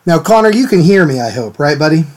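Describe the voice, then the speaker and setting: Slightly hoarse man chatting on a live stream. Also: on the high side, big pitch swings, fast talker, a little mellow. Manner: chatty, greets viewers by name, many fillers. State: hoarse, recovering from a cold. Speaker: art stream host. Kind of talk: casual live-stream chat. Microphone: desk mic, sometimes turned away from it.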